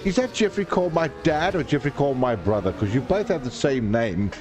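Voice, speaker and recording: A man's voice: mid-range voice, expressive, fairly quick, music in the background. A man hosting a live sim racing stream, calling the race and joking with viewers in the chat. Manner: jokey, chatty, reads viewer comments aloud.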